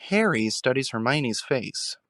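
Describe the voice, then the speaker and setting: A man narrates an audio drama in a medium-pitched voice. The delivery is scripted storytelling, recorded close and dry without room sound. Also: big pitch swings, unhurried, fairly bright tone, dry recording.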